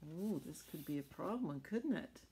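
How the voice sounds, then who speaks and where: A woman's voice: sits low, expressive, normal speed, gravelly, dark tone, slightly rough. A middle-aged woman reading a picture book aloud to children, adding chatty explanations and little questions to her listeners. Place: small furnished room at home.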